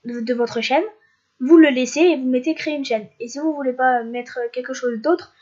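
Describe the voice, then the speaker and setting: High-voiced young woman with a cold. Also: steady tone, moderate pace, darkish tone, muffled recording. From France. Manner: hesitant, many filler sounds and restarts. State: stuffy with a cold. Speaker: YouTuber. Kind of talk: screen-recorded tutorial narration.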